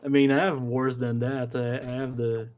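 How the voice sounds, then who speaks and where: A man's voice: mid-range voice, little pitch movement, moderate pace, darkish tone, lo-fi sound, soft background music. Quebec-accented male commentator, chatty and giggly, stammery. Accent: Quebec French. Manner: chatty, laughs often, stammers on starts. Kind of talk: casual stream commentary.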